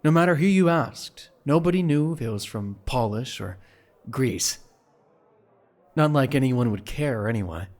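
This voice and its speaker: A man's voice: mid-range voice, lively intonation, a little slow, darkish tone. A man giving scripted, dramatic story narration for an audio drama.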